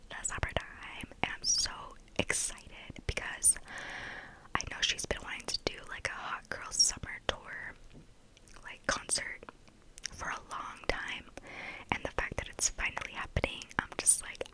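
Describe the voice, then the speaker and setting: A young woman from Texas chatting casually in a soft whisper for ASMR, full of "like" fillers. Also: a little slow, low-quality recording. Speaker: ASMR creator.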